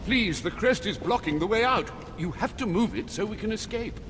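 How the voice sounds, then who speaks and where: An actor playing a proper elderly butler in a video game, formal and dryly sarcastic. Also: male voice, high voice, animated, moderate pace, noisy background. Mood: pleading. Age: older. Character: proper, sardonic elderly male butler of a manor. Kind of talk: video game cutscene acting. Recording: loud music behind.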